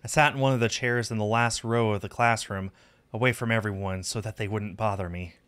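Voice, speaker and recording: A man's voice: lowish voice, fairly even intonation, a little fast, fairly bright tone, clear, resonant tone. A man streaming a visual novel, reading the narration aloud and adding casual asides. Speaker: game streamer. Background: music.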